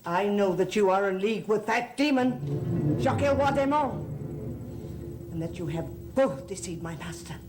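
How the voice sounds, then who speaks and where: A woman's voice: medium pitch, expressive, moderate pace, noisy background, natural voice. An actress playing a solemn, formal, centuries-old priestess devoted to her gods in a gothic soap opera. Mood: grave accusation. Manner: grave, formal, portentous.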